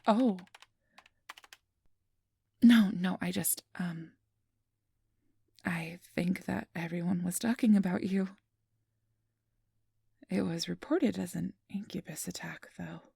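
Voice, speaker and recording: A woman playing a kindly, apologetic registration clerk in an ASMR roleplay, speaking softly and often in a whisper with a gentle, reassuring manner. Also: lowish voice, takes it slowly, long pauses, no room sound, studio quality, exaggerated voice. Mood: apologetic, soothing. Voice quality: soft, breathy, often whispered. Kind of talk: ASMR roleplay, soft whispery speech to the listener.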